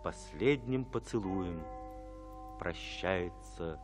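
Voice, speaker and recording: A man narrating a radio drama from a script in a solemn, measured, dramatic way. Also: low-pitched, animated, music in the background, full character voice.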